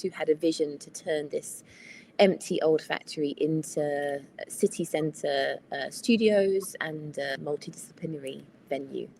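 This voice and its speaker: A woman from the UK speaks as a webinar guest from a large former factory hall, steady and informative with frequent fillers. Her voice sits a little low, and a faint noise runs beneath it on the video call. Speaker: managing director of a cultural venue.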